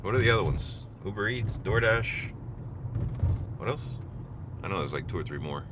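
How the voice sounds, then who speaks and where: A man's voice: fairly low pitch, lively intonation, moderate pace. A man hosting a casual livestream, talking in an informal, rambling way. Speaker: livestream host listening to police scanner. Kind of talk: casual livestream chat and commentary. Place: in a vehicle on city streets. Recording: clear car and traffic noise. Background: vehicle and street noise.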